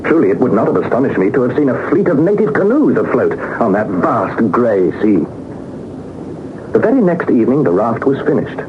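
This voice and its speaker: A male actor plays an earnest young British gentleman adventurer of a 1960s radio serial. His storytelling is literary and dramatic, with very lively swings of pitch. The sound is narrow, with heavy background noise from an old broadcast. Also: a little fast, lightly acted. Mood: wondering. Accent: British English. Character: Harry Lawson, earnest young Victorian adventurer recounting perilous journey. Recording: muffled, noisy 1960s radio recording.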